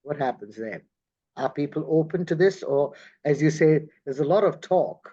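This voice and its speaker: Effusive host guy, somewhat high voice, muffled lo-fi call sound. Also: animated, moderate pace, mellow. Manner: enthusiastic, effusive. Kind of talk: online interview conversation. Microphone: video call.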